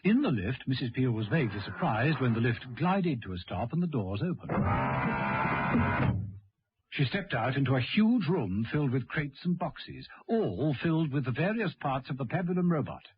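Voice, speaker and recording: A man's voice: mid-range voice, big pitch swings, normal speed, soft background music. A man delivering dramatic scripted narration for a radio drama. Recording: noisy, dull old radio recording.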